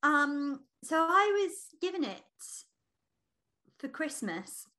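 A woman's voice: sits high, very animated, slow pace, bright, forceful tone. A woman co-hosting a podcast, chatting casually and expressively with a friend. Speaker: podcast co-host. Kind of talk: casual chat between friends.

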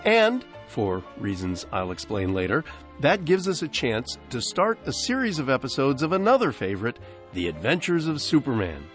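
A man's voice: mid-range voice, wildly swooping pitch, normal speed, fairly bright tone, soft background music. An American man hosting a radio show, reading a scripted introduction to vintage programs. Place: dry broadcast studio.

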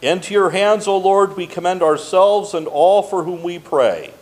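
Guy pastor praying aloud, kinda high voice, lots of rise and fall, formal and measured. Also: animated, a little mellow. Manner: solemn, formal, measured phrasing with pauses. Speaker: pastor leading congregational prayer. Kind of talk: liturgical prayer and blessing, read aloud.

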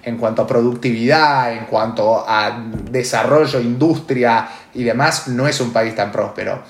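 A man's voice: mid-range voice, measured pace, vocal fry. A man with an Argentine Spanish accent giving opinions in casual talk, emphatic and repeating phrases for stress. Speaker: podcast host. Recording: echoey room.

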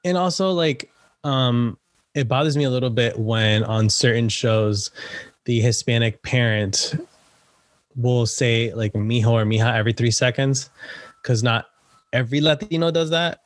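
A man's voice: mid-range voice, measured pace, no echo. A young man being interviewed about his work as an actor and writer, chatty, laughing often and using many fillers. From United States, Salvadoran family. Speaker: podcast guest, actor and writer. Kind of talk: casual interview chat.

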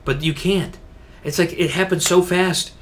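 A man's voice: high voice, steady tone, unhurried. A man co-hosting a casual gaming podcast, ranting in a slangy, emphatic, exasperated way. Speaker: podcast co-host.

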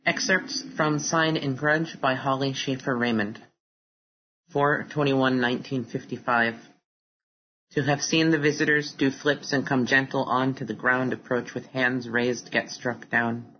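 Poet, literary reading, even monotone delivery, slightly lo-fi audio, faint background noise. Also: mid-range voice, a little slow. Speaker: poet reading own work. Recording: dull recording with faint noise.

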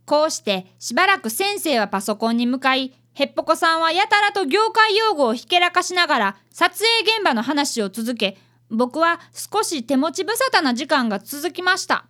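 An eager schoolboy detective voiced by a woman, very high and lively in pitch. He narrates a radio drama earnestly and speaks like a dutiful young apprentice. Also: normal speed, full character voice. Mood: mildly bored.

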